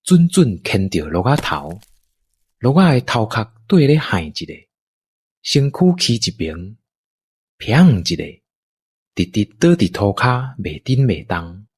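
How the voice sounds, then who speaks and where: A man's voice: lowish voice, very animated, unhurried, darkish tone, breathy edge. A man with a Taiwanese accent narrating a scripted adventure story.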